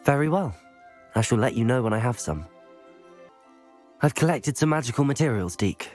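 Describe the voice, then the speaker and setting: Guy playing a young student wizard in a video game, polite, lively, faint music behind. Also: medium pitch, moderate pace, natural voice. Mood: curious, polite. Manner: polite, formal phrasing.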